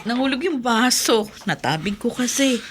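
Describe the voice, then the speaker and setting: A woman with a Filipino accent acting a gentle, self-effacing middle-aged mother in a radio drama, hesitant and brushing off concern. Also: fairly high pitch, animated, moderate pace, breathy edge. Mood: dismissive. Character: ailing, self-effacing mother who hides her worries. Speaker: actress in a drama.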